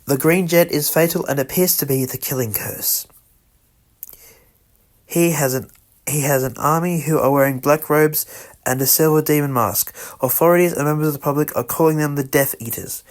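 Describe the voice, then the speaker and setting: A man narrating an amateur scripted emergency broadcast, halting and correcting himself as he reads. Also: on the high side. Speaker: radio emergency announcer in a fan-made scenario.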